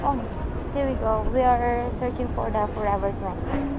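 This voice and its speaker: A woman in her twenties from the Philippines, with a Filipino accent, narrating a casual vlog in halting, simple phrases. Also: on the high side. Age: 20s. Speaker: vlogger. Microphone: handheld phone, often at arm's length. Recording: noisy on-location phone recording, loud ambience. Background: loud street, car and mall ambience.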